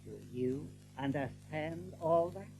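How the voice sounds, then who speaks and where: Coolly menacing, commanding rabbit general. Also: male voice, on the high side, darkish tone, soft background music, rough recording. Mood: quietly menacing. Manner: measured, formal, authoritative. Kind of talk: dramatised audiobook acting.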